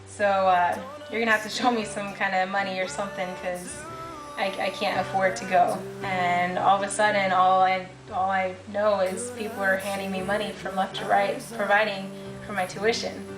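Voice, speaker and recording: A woman in her twenties, born in Hungary and raised in California, telling her personal testimony in a halting way, with many ums and restarts. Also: medium pitch, flat delivery. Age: 20s. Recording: roomy, distant mic with music behind.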